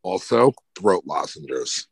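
A man chatting casually on a podcast, handing out practical tips with relaxed asides. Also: sits low, slow, gravelly, husky, dry recording. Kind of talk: casual advice chat.

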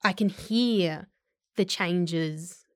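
A woman with an Australian accent, an actor chatting casually in an interview, self-deprecating and quick to laugh. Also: on the low side, clear, resonant tone, dry recording, studio quality.

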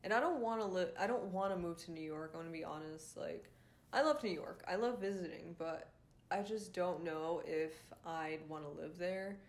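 A young woman with a congested, nasal voice hosts her own podcast, venting in a loose, frustrated monologue packed with fillers and swearing. She comes from Rhode Island, and her voice sits a bit low for a woman. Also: moderate pace. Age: young adult. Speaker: podcast host. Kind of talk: casual ranting monologue.

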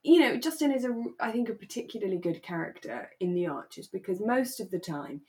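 A woman's voice: medium pitch, very animated, a little fast, mellow. A woman co-hosting a podcast, chatting casually and expressively as she reviews a drama. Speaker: podcast co-host.

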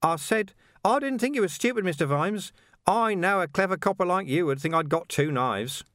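Chirpy, high-voiced taunting criminal for an audiobook; male reader doing a sly, mocking crook, dry studio sound. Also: animated, normal speed, a bit bright, no room sound. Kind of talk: audiobook character voice.

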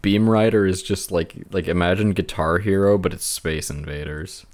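A man's voice: deep voice, fairly even intonation, normal speed, darkish tone. A man co-hosting a podcast in casual banter about video games. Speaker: podcast co-host.

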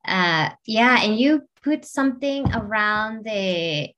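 A woman from Peru co-hosting a casual podcast. She keeps asking eager, curious questions in a warm, interested way. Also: medium pitch, lively intonation, slow. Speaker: podcast co-host.

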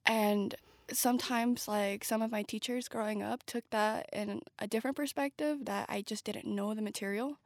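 A young woman from Peru speaking earnestly in a podcast conversation, talking in quick bursts with many fillers. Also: on the high side, dry recording, studio quality.

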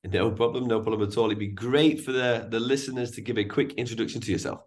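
A man's voice: lowish voice, fairly even intonation, fast. A man hosting an interview podcast, introducing and prompting his guest. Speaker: podcast host.

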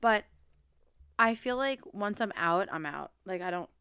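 A woman's voice: mid-range voice, animated, a little slow, bright, forceful tone, clear, resonant tone, no room sound. A woman in her twenties from Long Island, hosting a podcast in casual, rambling chat full of "like" and the odd swear. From Long Island, New York. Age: 20s. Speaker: podcast host.

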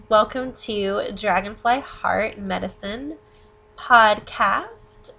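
Woman podcast host, chatting casually, mid pitched voice, bit of hum in the background. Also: vocal fry, a bit bright, rough recording.

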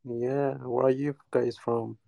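A man from Newcastle, England, a guest caller chatting casually, talking haltingly with many restarts and fillers. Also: medium pitch, little pitch movement, vocal fry, dark tone, dry recording, low-quality recording. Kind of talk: casual chat.

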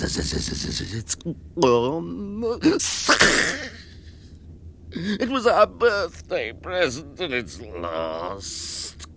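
An actor playing a wretched, slimy creature in a radio drama, croaking and whining as he mutters and wheedles to himself. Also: mid-range voice, a bit bright, a little hiss or hum, heavily acted. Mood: bereft, bitter. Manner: muttering to himself, repeating words, wheedling tag questions.

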